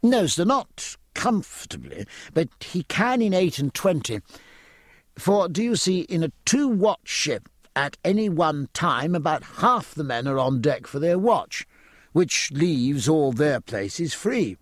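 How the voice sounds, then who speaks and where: Young midshipman acted by a man, earnest, polite, proudly nautical, raised swooping pitch, audiobook, close dry sound. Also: big pitch swings, slow pace, no echo, heavily acted. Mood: eager, polite. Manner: polite, keen, explanatory. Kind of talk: audiobook character acting.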